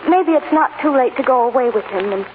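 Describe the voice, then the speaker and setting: An actress playing a tender, self-sacrificing young film star wife in a 1940s radio melodrama, earnest and heartfelt. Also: female voice, high voice, animated, fairly quick. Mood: resolute, sorrowful. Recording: noisy, tinny 1940s radio broadcast.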